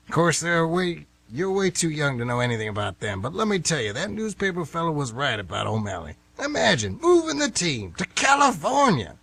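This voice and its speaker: A man's voice: a little high, creaky, fairly bright tone, no echo, full character voice. An actor with an American accent playing an indignant, stubborn older man, folksy and emphatic, in a radio play. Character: stubborn, indignant old male former baseball fan.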